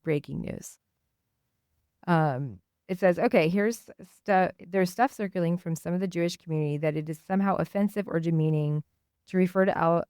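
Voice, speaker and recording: A woman hosting a radio show, talking and reading aloud in an informal, halting way. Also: deep voice, a little mellow, clear, resonant tone, no room sound, studio quality. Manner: hesitant, informal, halting delivery. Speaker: radio host. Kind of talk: casual spoken commentary and reading aloud on radio.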